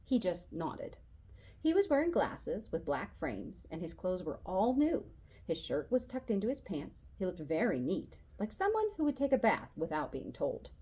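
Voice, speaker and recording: A woman reads a children's storybook aloud in a fairly low, slightly husky voice. Her delivery is animated and expressive, rising and falling widely as she narrates as a young boy. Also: moderate pace. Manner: animated, acts out dialogue. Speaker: library storyteller reading a children's novel aloud.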